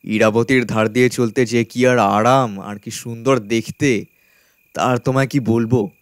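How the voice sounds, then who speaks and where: An actor playing a carefree, trusting uncle in a radio drama, chatting in a jovial, easygoing manner. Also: male voice, mid-range voice, steady tone, unhurried, clean tone, no room sound, studio quality, lightly acted. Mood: weary, delighted.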